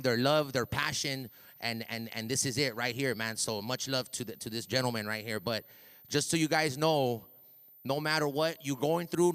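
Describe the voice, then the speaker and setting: A loud middle-aged man from East Los Angeles speaks to students as a hype man, his voice resonant and clear. He repeats and restarts words as he goes, talking into a handheld mic. The long reverb of a big school hall hangs behind every word. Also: mid-range voice. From East Los Angeles, USA. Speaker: ring announcer speaking at a school assembly. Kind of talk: motivational speech to students. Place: school auditorium.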